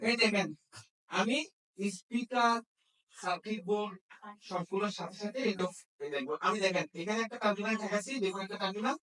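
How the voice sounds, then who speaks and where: A man's voice: high voice, brisk, long pauses, bright, forceful tone. A man teaching a hands-on repair class, often checking understanding with questions. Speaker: phone repair instructor. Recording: echoey room, distant microphone.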